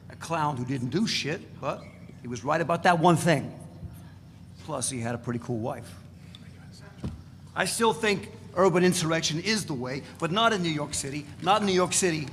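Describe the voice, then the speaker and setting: A man's voice: a little high, normal speed, close to an everyday voice. A man playing a swaggering, foul-mouthed radical revolutionary, emphatic and sardonic, in a dramatic reading of a novel. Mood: defiant, ranting. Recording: noisy, reverberant hall recording.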